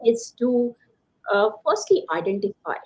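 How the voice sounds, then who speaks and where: A woman's voice: fairly high pitch, sing-song, measured pace. A woman giving emphatic, conversational motivational advice as a coach being interviewed. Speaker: career coach, interview guest. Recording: slightly dull lo-fi call audio.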